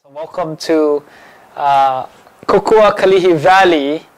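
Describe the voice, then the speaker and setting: A man who grew up in Hawaii, welcoming people to a community bike shop, speaking in a halting way with many fillers. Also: fairly high pitch, clear, resonant tone, poor audio. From Hawaii, born in the Philippines. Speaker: program manager of a youth bike shop. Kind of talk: spoken welcome and introduction.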